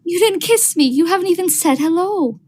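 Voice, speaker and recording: A young woman playing a coquettish but cool sweetheart in a vintage radio thriller, with drawn-out theatrical delivery. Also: very high-pitched, expressive, darkish tone. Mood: pouting. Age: young adult. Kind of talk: vintage radio drama acting.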